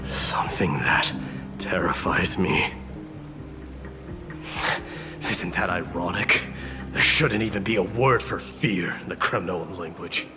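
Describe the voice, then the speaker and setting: Game role: brooding noble warrior, low-pitched, solemn and restrained, over clearly audible music. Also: male voice, a little slow. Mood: grave, brooding. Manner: formal, grave, restrained. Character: proud, stern, brooding male warrior prince. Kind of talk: video game voice acting.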